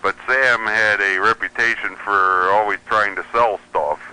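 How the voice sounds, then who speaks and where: A man's voice: lowish voice, steady tone, a little slow, pushed and bright, clean tone, rough recording. A man speaking as an interview guest, telling casual anecdotes in a wry, rambling way.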